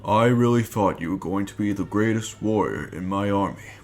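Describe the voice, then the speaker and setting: A man's voice: lowish voice, moderate pace, close to an everyday voice. A man playing a menacing conqueror supervillain in an amateur comic-book dramatic reading, with cold, theatrical deliberation that turns to rage. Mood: cold menace. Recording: music behind the voice.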